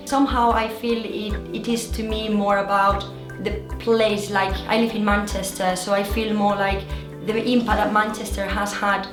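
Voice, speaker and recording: A woman in her thirties with a Spanish accent, speaking earnestly and in a measured way as an interviewee reflecting on her life abroad. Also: mid-range voice. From Spain. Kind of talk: personal reflection. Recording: roomy, music behind.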